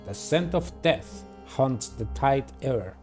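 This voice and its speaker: A man's voice: mid-range voice, expressive, slow pace, a little mellow, soft background music. A man in his thirties or forties hosting a video game playthrough, giving commentary and reading text aloud. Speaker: gaming channel host.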